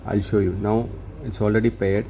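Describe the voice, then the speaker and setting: A man talking through a software update step by step, hesitant and halting, often restarting words. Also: lowish voice, flat delivery. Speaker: tech tutorial presenter. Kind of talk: screen-recorded how-to walkthrough. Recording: noisy room, hum and traffic behind.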